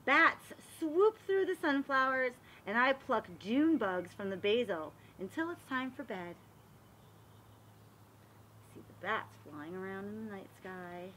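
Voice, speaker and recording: Bright, high-voiced woman reading to children. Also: slow pace, slight background noise, poor audio. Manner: animated, addressing young children with questions. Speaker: read-aloud storyteller for children. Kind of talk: expressive picture book read-aloud with explanatory asides.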